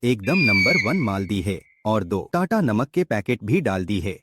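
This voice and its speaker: A man's voice: fairly low pitch, sing-song, unhurried, a bit bright, breathy edge, full character voice. A man playing a humble, devout and joyful village snack vendor in a cartoon, exuberant, with a Bhojpuri accent. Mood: cheerful, thankful. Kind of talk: animated moral story dialogue.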